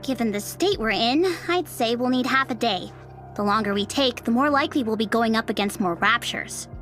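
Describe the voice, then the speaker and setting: A woman playing a blunt, worrying young female soldier in a game, expressive and outspoken. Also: on the high side, normal speed, faint music behind, natural voice. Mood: exasperated. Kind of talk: dubbed game cutscene voice acting.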